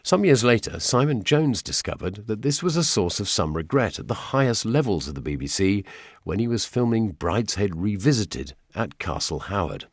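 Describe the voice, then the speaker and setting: A man's voice: on the low side, sing-song, moderate pace, no echo, studio quality. A British man presenting a documentary, with narration and personal reminiscence.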